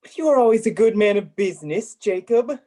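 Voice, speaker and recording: A man's voice: high-pitched, lively intonation, a little fast, mellow. A man acting a grumpy, miserly old man in a radio play, blustering and grumbling. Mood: nervous, pleading.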